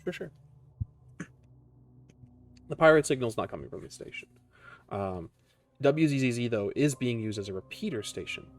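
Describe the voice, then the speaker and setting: A man's voice: mid-range voice, lively intonation, moderate pace, pauses often. A man acting as game master, narrating a tabletop roleplaying game with casual asides.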